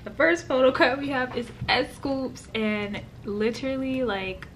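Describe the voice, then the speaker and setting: A young woman talks straight into her phone camera, her voice raspy and worn hoarse from the night before. She gushes along casually, stringing thoughts together with "like" and "literally." A steady hum of ambient noise sits plainly under the recording. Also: sits high, a little slow. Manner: gushing, chatty, peppered with "like" and "literally". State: hoarse after a concert. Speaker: vlogger. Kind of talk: casual vlog chat to camera. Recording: clear background ambience noise.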